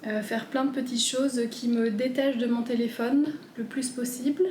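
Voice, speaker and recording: A woman in her thirties chatting casually at home as she answers viewer questions, gentle and hesitant. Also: fairly high pitch, steady tone, normal speed, reverberant, slight background noise. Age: 30s. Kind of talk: casual FAQ chat.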